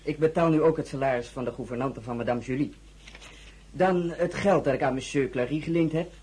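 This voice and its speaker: A man's voice: mid-range voice, fairly quick, darkish tone, a little hiss or hum, natural voice. A man playing a careful, deferential household steward in a radio drama, polite and matter-of-fact. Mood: apologetic, factual.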